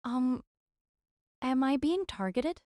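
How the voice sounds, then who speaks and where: Woman acting a clear-voiced, flustered schoolgirl. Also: high voice, animated, slow, a little mellow, dry recording, studio quality. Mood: uneasy. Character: excitable, easily flustered schoolgirl. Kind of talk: audio drama character acting.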